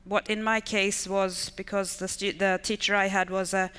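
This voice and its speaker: Woman, 50s, Swedish accent, enthusiastic conference speaker, middle pitch, lingering reverb of a large hall. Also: flat delivery, moderate pace, fairly bright tone, clear, resonant tone. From Sweden. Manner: enthusiastic, stacks repeated intensifiers. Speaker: conference speaker, education manager. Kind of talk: conference talk. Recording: reverberant hall with long decay.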